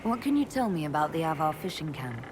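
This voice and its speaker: Female leader, video game, measured, dry, lowish pitch, audible ambient noise behind. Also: sing-song. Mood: dry, businesslike. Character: composed female military leader questioning her companions. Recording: clear background ambient noise.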